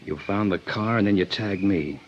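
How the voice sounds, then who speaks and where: A man's voice: low-pitched, moderate pace, close to an everyday voice. An actor playing a jumpy, suspicious hard-boiled gunman, talking in threatening, slangy tough-guy speech in a 1950s radio crime drama. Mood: suspicious, sneering. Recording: dull, noisy old radio recording.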